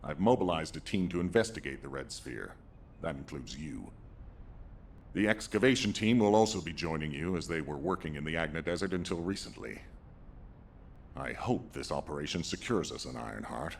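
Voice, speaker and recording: A grave male commander in a video game. His voice is very deep and gravelly. He speaks pragmatically, over a faint noise. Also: expressive, moderate pace, heavily acted. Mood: grave, resolute. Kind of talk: scripted game dialogue.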